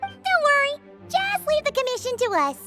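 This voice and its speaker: Squeaky, very high childlike fairy. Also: female voice, very animated, moderate pace, sharp tone, soft background music, exaggerated voice. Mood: cheerful, eager. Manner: refers to self by name in third person. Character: small chirpy childlike fairy companion, excitable and suspicious. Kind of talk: video game character dialogue.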